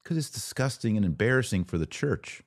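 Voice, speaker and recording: A man's voice: fairly low pitch, animated, a little fast, no room sound, clean studio sound. A man hosting a podcast of opinionated commentary, with a mocking, sarcastic and emphatic delivery. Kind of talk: opinionated live commentary, reading aloud and reacting.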